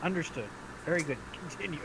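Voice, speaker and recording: A man's voice: on the high side, lively intonation, fast, fairly bright tone, a little hiss or hum, rough recording. A young man playing a tabletop game, trading dry, mock-formal quips. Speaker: player. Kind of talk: casual game banter.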